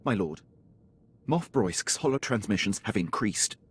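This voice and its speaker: Low, husky, dutiful officer. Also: male voice, animated, unhurried. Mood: restrained concern. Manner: clipped, deferential, precise formal phrasing. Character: dutiful, formal male imperial military officer, loyal subordinate. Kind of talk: video game character acting.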